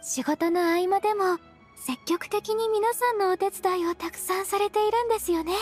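A woman voicing a gentle, modest young woman in an anime-style game, speaking with formal, slightly hesitant politeness. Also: very high-pitched, normal speed, faint music behind. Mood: polite.

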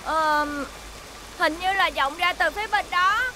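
A woman's voice: very high voice, unhurried, rough recording. A woman voicing an earnest, alert young rescuer in a children's cartoon, calling out with urgency. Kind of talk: animated children's series dub.

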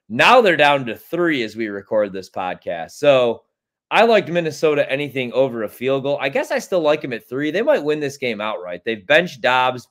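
A man's voice: medium pitch, fairly bright tone. An American man in his thirties chatting casually on a sports betting podcast over a video call, blunt and rambling, with plenty of swearing. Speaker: guest sports betting analyst. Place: home kitchen, on a video call.

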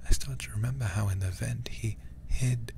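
A man voicing a twitchy, eerie child devoted to grandpa, in a hushed, halting horror-game narration. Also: lowish voice, gravelly, a little hiss or hum. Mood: eerie, dreamy.

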